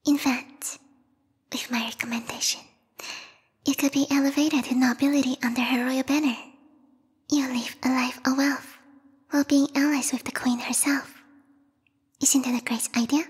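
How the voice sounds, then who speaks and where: Whispered ASMR roleplay, woman playing a lethal yandere vampire bat-girl knight, very breathy, formal and theatrical, long hall reverb. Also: sits high, level tone, normal speed, pauses often. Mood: grateful, hopeful. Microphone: close binaural microphone.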